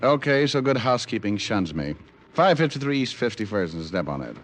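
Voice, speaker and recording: An actor playing a cocky, sardonic American private detective in old radio noir, tossing off flippant wisecracks. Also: male voice, lowish voice, big pitch swings, fairly quick. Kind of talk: 1940s radio noir acting. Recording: faintly noisy old radio recording.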